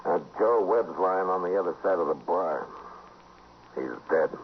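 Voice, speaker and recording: A terse, steady American frontier marshal in a vintage radio western. His voice is extremely low yet moves widely in pitch, and he speaks with unhurried authority. The old recording is muffled. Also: slight background noise. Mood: terse, questioning. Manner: terse, unhurried, plain-spoken. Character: calm, authoritative frontier marshal, grown man. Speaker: lead actor and narrator in a radio western. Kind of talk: 1950s radio western acting.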